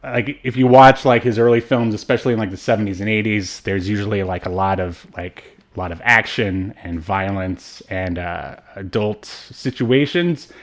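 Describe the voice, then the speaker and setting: A man's voice: lowish voice, normal speed, few pauses. A man co-hosting a casual movie review podcast, rambling and hesitant with frequent asides. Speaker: podcast co-host.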